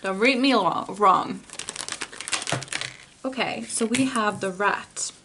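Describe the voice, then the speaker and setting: Finnish woman, non-native English, tarot reader; unhurried, earnest, stops to rephrase. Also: medium pitch, background noise. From Finland. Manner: slow, searching, rephrases often. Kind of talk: pick-a-card tarot reading.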